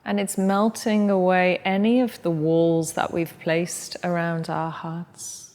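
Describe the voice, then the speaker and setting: A woman who teaches yoga, leading a guided meditation in a soft, gentle, unhurried way. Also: on the low side, flat delivery, long reverb tail. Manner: soft, slow and gentle guidance.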